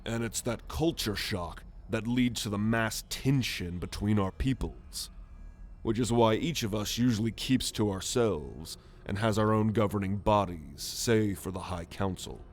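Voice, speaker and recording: A man voicing a proud, gruff alien military renegade in an ASMR roleplay, haughty and sardonic in a measured delivery. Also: on the low side, slight background noise, natural voice. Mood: pragmatic, brusque. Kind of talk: ASMR roleplay monologue to the listener.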